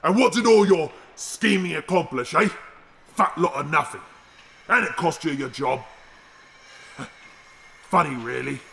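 Gravelly, bitter arms dealer. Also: male voice, on the high side, measured pace, long pauses, a bit bright, slight background noise. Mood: mocking. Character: bitter, wary male underworld arms dealer, betrayed. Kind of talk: audio drama acting.

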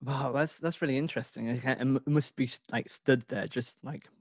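A man's voice: mid-range voice, fairly even intonation, fairly quick, dry recording. Young man, podcast host, easygoing, agreeable, filler-heavy, dry close sound. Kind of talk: casual interview chat.

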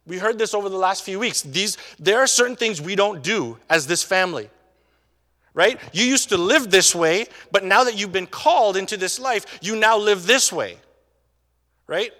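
A man with a high voice preaches to his congregation. He keeps it conversational, checking in with tag questions and restarting his sentences. The sound rings with the long reverb of a church hall. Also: animated, moderate pace, pushed and bright. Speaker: pastor. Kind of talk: sermon.

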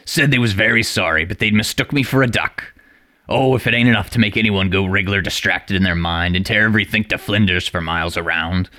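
Guy doing a grumpy hoarse old-fashioned storybook owl, countrified and cross, always telling someone off, lowish voice. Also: animated, fairly quick, few pauses, bright, forceful tone, exaggerated voice. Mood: suppressed rage. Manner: rustic, ungrammatical, scolding speech. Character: indignant, huffy, easily enraged talking owl. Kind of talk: storybook character voice.

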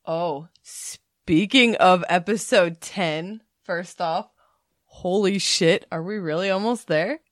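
A woman's voice: lowish voice, slow pace, no room sound, clean studio sound. Playful laughing woman, podcast co-host. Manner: playful, laughs a lot, mimics others. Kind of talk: casual chat.

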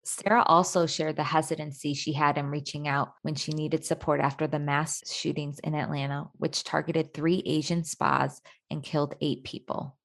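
A woman's voice: low-pitched, level tone, normal speed, vocal fry, hardly any pauses. A woman hosting, reading scripted podcast narration that links the interview segments. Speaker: host, narrator.

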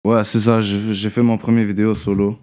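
A young man is answering questions in a relaxed interview. His is Arabic. He speaks haltingly, restarts his phrases and tags sentences with a loose "là". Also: lowish voice. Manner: halting, repeats words, trails off with "là". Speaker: guest rapper. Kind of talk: casual interview chat.

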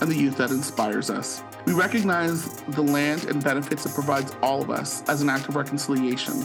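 A man from Canada co-hosting a podcast, animated and quick to laugh as he tells stories. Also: mid-range voice. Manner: animated storyteller, laughs easily. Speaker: podcast co-host. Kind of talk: casual chat.

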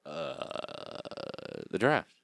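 A man's voice: mid-range voice, wildly swooping pitch, drawn-out words, gravelly, fairly bright tone, no echo. A man co-hosting a casual sports podcast, laid-back, with dry teasing and short replies. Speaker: podcast co-host.

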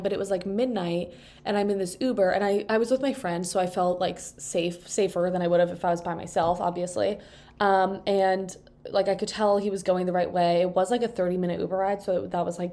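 A woman's voice: mid-range voice, fairly even intonation, brisk, warm tone. A young woman in her twenties hosting a casual solo podcast, stuffed up from allergies, rambling in a chatty way with lots of fillers, recorded on a microphone propped on a table. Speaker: podcast host. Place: indoors at a table.

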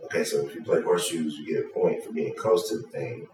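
A man's voice: extremely low pitch, fairly even intonation, quick pace, darkish tone, slight background noise. A man with a Georgia accent hosting a podcast, teasing his guest in argumentative casual banter. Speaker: podcast host. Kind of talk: casual chat. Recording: echoey room, distant from mic.

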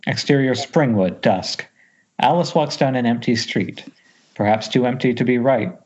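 A man's voice: mid-range voice, normal speed, darkish tone. A man reading scripted stage directions as narrator at an amateur table read. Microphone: Skype call. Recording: dull lo-fi internet call.

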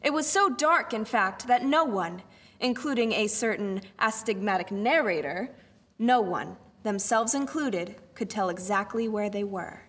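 A woman playing a wry, measured narrator of a radio drama. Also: mid-range voice, animated, fairly bright tone, slightly rough.

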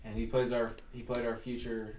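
A man's voice: mid-range voice, completely flat delivery, moderate pace, reverberant, far from the mic, crowd noise. A man co-hosting a podcast in casual chat, chiming in with short remarks. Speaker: podcast co-host.

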